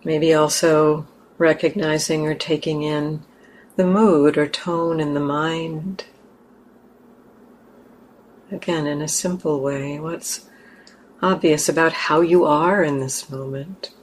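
A woman leading a guided meditation as a teacher, speaking gently and leaving long pauses between short phrases. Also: low-pitched, fairly even intonation, a little hiss or hum.

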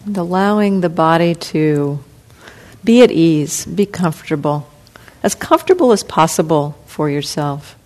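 A woman teacher leading a guided meditation, speaking softly and calmly with long pauses. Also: deep voice. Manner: slow, soft, calm, with long pauses.

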